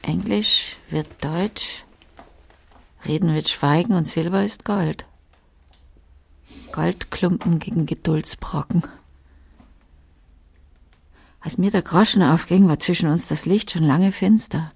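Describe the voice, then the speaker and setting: An actress playing a bitter, brooding older wife in a literary radio drama monologue, with a husky, creaky voice and sardonic delivery. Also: female voice, fairly low pitch, measured pace, a little mellow, exaggerated voice. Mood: bleak.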